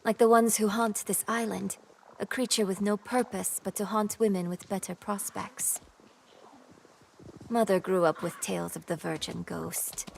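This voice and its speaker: A woman playing a wistful young Korean noblewoman in a video game, speaking in a refined, measured, melancholy way. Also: mid-range voice, fairly even intonation, creaky, a little mellow, a little hiss or hum. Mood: resigned, melancholy. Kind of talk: game character dialogue.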